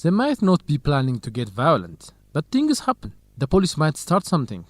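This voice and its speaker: A man's voice: a little high, huge pitch swings, moderate pace, no room sound. A man playing a cautious, good-natured young graduate student in an educational radio drama. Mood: cautious, worried.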